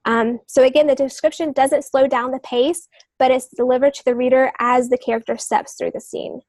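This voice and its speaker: A woman's voice: sits high, fairly even intonation, moderate pace, darkish tone, no echo. A woman speaking as a guest novelist on a podcast, explaining her methods somewhat hesitantly and often rephrasing herself.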